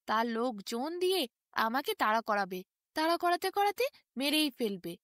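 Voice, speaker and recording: Cautious storybook mouse, played by a woman, fretful and sing-song, with very lively pitch. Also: normal speed, no room sound, studio quality. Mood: fearful refusal. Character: hospitable but timid, cautious mouse.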